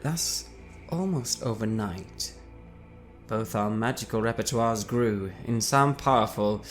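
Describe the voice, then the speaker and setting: Measured low-voiced man, wry storyteller. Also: faint music behind. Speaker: player reading character backstory. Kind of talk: dramatic reading in character.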